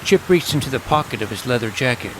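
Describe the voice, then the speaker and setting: A man's voice: mid-range voice, expressive, fast, background noise, close to an everyday voice. An actor narrating an audio drama in the first person as a plucky, self-assured boy detective recounting his adventures. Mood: relieved, wry. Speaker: narrator and lead character. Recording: loud dramatic music under narration.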